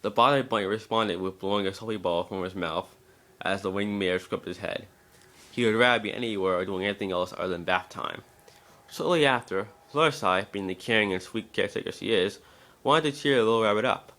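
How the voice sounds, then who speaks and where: A man's voice: on the low side, a little fast, bright, forceful tone. A man narrating a story as an amateur dramatic reader, occasionally stumbling over the text.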